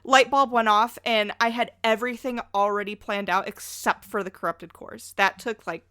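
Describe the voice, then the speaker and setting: Casting: female pop-culture podcast guest, effusive, bright tone, very dry sound. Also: fairly high pitch, steady tone, moderate pace, clear, resonant tone, dry recording.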